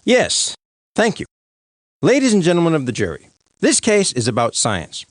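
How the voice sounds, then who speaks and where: Cartoon prosecutor, brash, glib, very animated intonation, insistent, dry studio sound. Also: male voice, a little high, moderate pace, dry recording. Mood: showy, glib. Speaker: prosecuting lawyer. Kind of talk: animated comedy courtroom dialogue.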